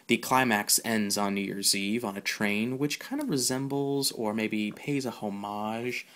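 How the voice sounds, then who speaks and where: A man hosting a podcast, giving a casual, informal solo film review in a halting way. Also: fairly low pitch, fairly bright tone.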